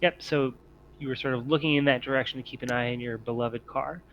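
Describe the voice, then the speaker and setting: A man with a bright, forward voice runs a tabletop game as its game master. He narrates and explains rules casually and haltingly. The sound is band-limited, with a faint noise and hum behind him. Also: mid-range voice, fairly even intonation, dull audio.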